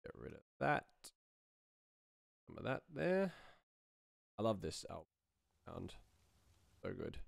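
A man's voice: deep voice, wildly swooping pitch, slow pace, creaky, pauses often, no room sound. A man in his thirties giving casual livestream commentary as he works, muttering in a laid-back way into a close microphone. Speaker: sound designer streaming his work. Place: small room indoors. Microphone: close studio microphone.

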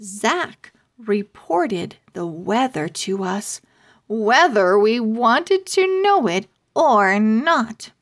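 A woman reading a children's storybook aloud in a deliberate, scripted narration. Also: a little high, sing-song.

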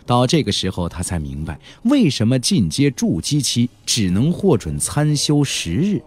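A man narrating an audiobook in a dramatic storytelling style, expressive, with drawn-out emphasis. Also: mid-range voice, breathy edge, faint music behind.

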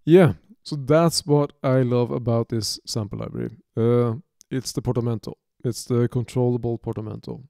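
A man in his thirties from Norway with a Norwegian accent, giving an unscripted music software tutorial from his home studio, talking haltingly with many restarts and fillers. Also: mid-range voice, steady tone, vocal fry, dry recording. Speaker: music producer demonstrating software.

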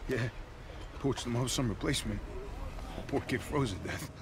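A man's voice: mid-range voice, expressive, moderate pace, background noise, natural voice. An actor playing a wisecracking, sardonic American infantryman in a war game. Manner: sardonic, brash. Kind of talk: cinematic war game acting. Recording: loud score and battle sounds behind.

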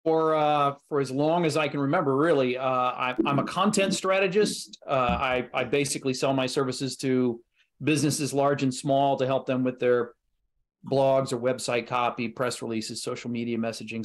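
Man, middle-aged, American accent, medium pitch, casual interview storytelling. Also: fairly even intonation, moderate pace, slight background noise. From Kansas City, United States. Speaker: guest, writer and content strategist. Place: indoor room on a video call.

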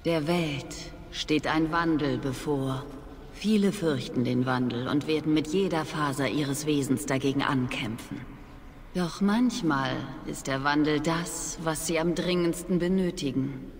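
Proud young witch, aloof, formal, disdainful, video game drama, long reverb, noisy ambience. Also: sits low. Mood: grave. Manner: measured, formal, disdainful speech.

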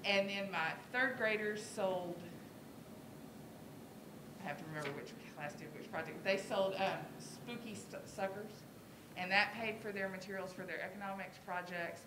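A middle-aged woman teacher talks informally and explains as she goes, at a middle pitch. The room is noticeably echoey, and a clear low rumble sits under her masked voice at the podium microphone. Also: moderate pace. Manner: explanatory, conversational. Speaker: elementary school teacher. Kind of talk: informal presentation to a school board. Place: school board meeting room. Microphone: podium microphone, speaking through a face mask.

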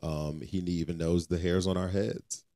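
A man's voice: sits low, steady tone, moderate pace, mellow. A man co-hosting a faith podcast, talking casually in an earnest, exhorting way and repeating phrases for emphasis. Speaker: podcast co-host.

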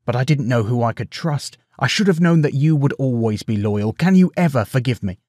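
Male narrator as returning king, earnest, direct, commanding, storybook hero, medium pitch, dry sound. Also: lively intonation, brisk, darkish tone, no room sound, lightly acted. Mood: earnest, apologetic.

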